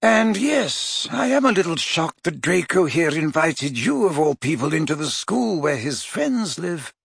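Calm elderly headmaster wizard, measured and gentle, read by a man. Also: a little high, creaky, husky, no room sound, studio quality, voice pushed up, full character voice. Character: old male wizard headmaster, calm and weary.

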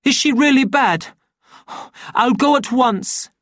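Woman acting a wild, agitated man, raised pitch. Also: takes it slowly, dry recording, clean studio sound. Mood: alarmed. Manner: shouted, forceful, urgent exclamations. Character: wild, angry young man, agitated and threatening. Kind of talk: dramatised audiobook reading.

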